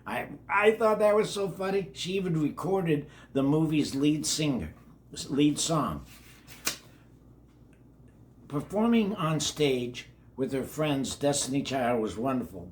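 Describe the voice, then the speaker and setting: Slow-talking older man reading to children. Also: mid-range voice, animated, a little hiss or hum. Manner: folksy, addresses children directly, repeats words. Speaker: children's read-along host. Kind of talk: reading a children's biography aloud with chatty asides.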